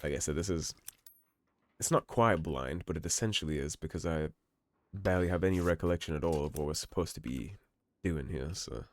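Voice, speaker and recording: A man giving relaxed, musing commentary over a game playthrough, his voice slightly hoarse. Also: rumbling low, a little fast, no room sound. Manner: relaxed, musing, reads in-game notes aloud. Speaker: game streamer. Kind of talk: let's play commentary.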